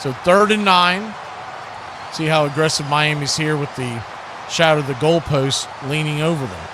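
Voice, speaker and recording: A man's voice: on the high side, moderate pace. A man calling live football play-by-play as a radio announcer. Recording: clearly noisy background hiss and ambience.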